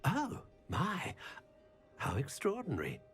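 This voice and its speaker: Intrigued elderly craftsman wizard: lively melodic delivery, wry and polite, thinking aloud in a fantasy game. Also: high voice, takes it slowly, heavily acted. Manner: musing, courteous, wry. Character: intrigued, courteous old master wandmaker. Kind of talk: cinematic fantasy game acting. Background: music.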